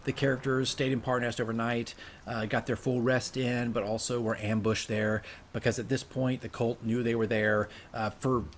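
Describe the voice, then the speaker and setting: A man gives game-running tips in a casual, chatty vlog manner. His voice sits at a middle pitch. He corrects himself as he goes and keeps tagging his sentences with a questioning "right?". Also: flat delivery, normal speed, slight background noise. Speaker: dungeon master giving game tips. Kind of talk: casual advice vlog, talking from notes.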